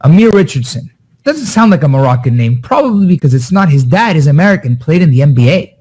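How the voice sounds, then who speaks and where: A man analyzes soccer players in a casual podcast chat. His voice sits a little high and turns animated, with sudden rises when he gets excited. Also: husky. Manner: animated, quick pitch jumps when excited.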